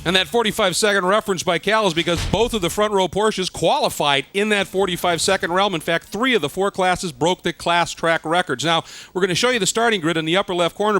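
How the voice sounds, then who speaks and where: A man's voice: high voice, fast, pushed and bright, dry recording, soft background music. A man calling a sports car race as the play-by-play commentator.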